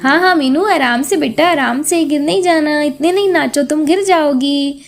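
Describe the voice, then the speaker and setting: A woman's voice: high-pitched, normal speed, soft background music. A woman voicing a humble, contented poor housemaid and mother, reassuring and earnest, in a moral story. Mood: gently fussing.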